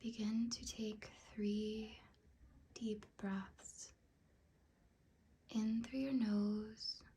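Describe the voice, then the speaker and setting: Woman, whispery and breathy, soft mellow tone, slow soothing meditation guide, long pauses. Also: mid-range voice, flat delivery.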